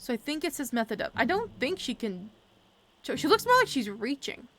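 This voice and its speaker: A woman's voice: sits high, sing-song, brisk, fairly bright tone, a little hiss or hum. A young woman casually commenting as she plays a mystery game, thinking aloud and working through her guesses. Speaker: let's play streamer.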